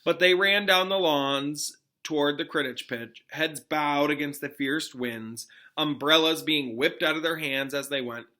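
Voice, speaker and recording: A man's voice: a little high, measured pace, hardly any pauses, pushed and bright. A man who is a classroom teacher, reading a storybook aloud expressively with casual, informal asides to pupils.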